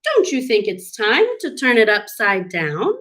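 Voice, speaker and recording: A woman's voice: mid-range voice, huge pitch swings, measured pace, fairly bright tone. A woman voicing a well-meaning sea creature in a storybook, chiding and coaxing in lilting rhyme.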